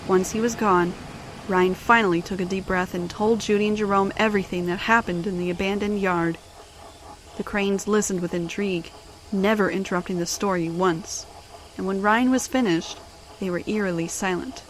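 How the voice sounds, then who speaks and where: A woman's voice: medium pitch, steady tone, moderate pace, a little hiss or hum, rough recording. A woman giving scripted story narration as the narrator of an audio drama.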